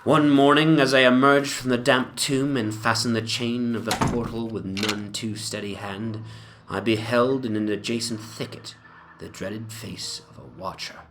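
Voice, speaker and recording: A man narrating a gothic horror story as a dramatic reading, in a measured, solemn and theatrical manner. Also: mid-range voice, fairly even intonation, noisy background.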